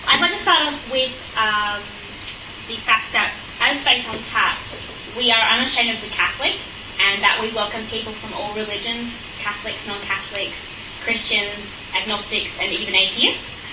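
A woman's voice: mid-range voice, normal speed. Woman MC in an echoey hall. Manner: friendly, with frequent ums. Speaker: event MC. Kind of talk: introducing a speaker. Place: event hall with audience. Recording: echoey room, far mic, noisy.

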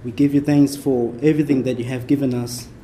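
A soft-spoken man from Vietnam, a Capuchin priest, leading a prayer workshop for a small group in a casual way and leaning on his notes. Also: mid-range voice, little pitch movement, a little fast, slight background noise. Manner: soft-spoken, relies on notes, chatty asides. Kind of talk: informal prayer workshop talk.

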